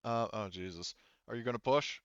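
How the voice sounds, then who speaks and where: Low-voiced man running a tabletop game. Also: moderate pace, muffled recording, very noisy. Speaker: game master. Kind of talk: casual tabletop game narration and rules talk.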